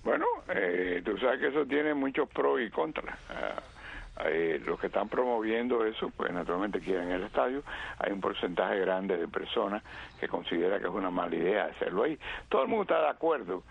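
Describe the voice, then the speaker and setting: A man with a low, very gravelly, husky voice chats about sports in a loose way, with many hesitant fillers. His voice comes over a dull, lo-fi line. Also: animated, a little fast, no room sound. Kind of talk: casual chat.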